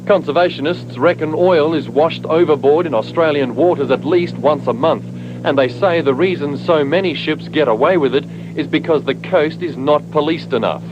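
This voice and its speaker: A man's voice: fairly high pitch, moderate pace. A man reporting a television news story in a plain, conversational way. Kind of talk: scripted news report voice-over. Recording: dull old broadcast tape with steady hiss.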